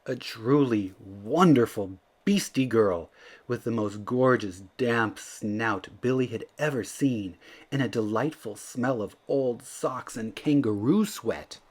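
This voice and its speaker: A male storyteller reads a children's book aloud with a highly animated delivery. His pitch moves freely around a middle register, and his phrasing is theatrical and expressive. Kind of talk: animated read-aloud narration.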